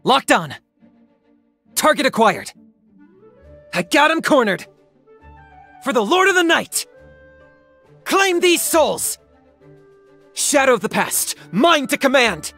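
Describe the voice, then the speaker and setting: A man voicing a calm, pragmatic young hunter in a video game, businesslike, deadpan and composed. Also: very high-pitched, slow, pauses often, soft background music, exaggerated voice. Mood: intense. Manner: deadpan, matter-of-fact, composed. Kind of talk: video game character voice acting.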